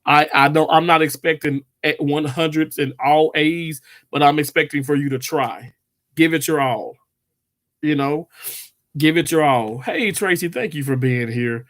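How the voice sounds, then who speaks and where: A man's voice: on the high side, unhurried, fairly bright tone. A man, chatting casually with viewers on a livestream, rambling with lots of fillers and restarts. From Mississippi, US. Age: 30s. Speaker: livestream host.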